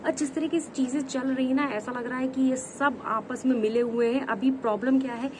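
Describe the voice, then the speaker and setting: A woman talks through a roast commentary on online drama, scolding and mocking the people involved as if she is addressing them directly. Her voice sits fairly high. A faint hum of room noise stays underneath. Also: fairly even intonation, a little fast. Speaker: commentary vlogger.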